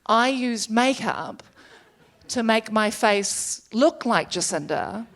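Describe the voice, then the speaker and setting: A woman's voice: a little high, lively intonation, takes it slowly, fairly bright tone. A woman in her thirties from New Zealand with a New Zealand accent, doing a stand-up comedy set with chatty, self-deprecating asides. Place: comedy stage before a live audience.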